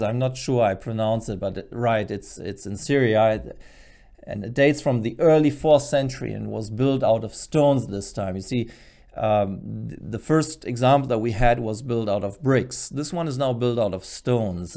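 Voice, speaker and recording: A man's voice: medium pitch, a little mellow. A middle-aged man explaining to camera like a teacher, in an earnest, emphatic manner, speaking at a desk microphone. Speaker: presenter, Bible teacher. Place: small furnished studio room at a desk, indoors. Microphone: desk microphone close in front.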